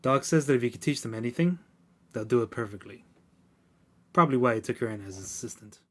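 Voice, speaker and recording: A man playing a gruff, brusque Victorian police inspector in an audio drama, with music behind him. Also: medium pitch, expressive, quick pace, fairly bright tone, natural voice. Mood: dry, measured. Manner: brusque, dismissive. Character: gruff, impatient, bigoted male Victorian London police inspector.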